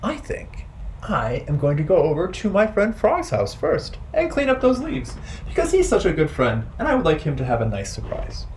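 A man's voice: a little high, wildly swooping pitch, moderate pace, darkish tone, slight background noise. A man voicing a kindly, earnest storybook frog or toad who talks to himself as a good friend. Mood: resolved, kindly. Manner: earnest, self-addressing, storybook delivery.

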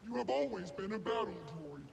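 A man voicing an arrogant, ruthless combat droid in a video game, clipped and deadpan through robotic processing. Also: sits high, fairly quick, a little hiss or hum, heavily acted. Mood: deadpan menace. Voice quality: gravelly, mechanical.

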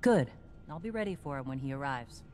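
A woman playing a composed, kindly doctor in a video game, measured and reassuring. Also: a bass voice, expressive, slight background noise. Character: composed, kindly professional female vault doctor. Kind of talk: video game dialogue acting. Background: loud game music.

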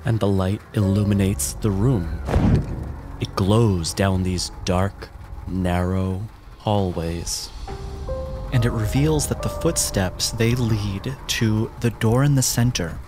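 A man narrating a tabletop roleplaying game as game master, in a measured, descriptive and dramatic storytelling manner. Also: on the low side. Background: music.

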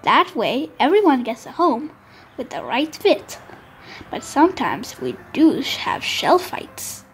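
A woman narrating a storybook for young children with expressive, deliberate phrasing. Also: sits high, faint music behind.

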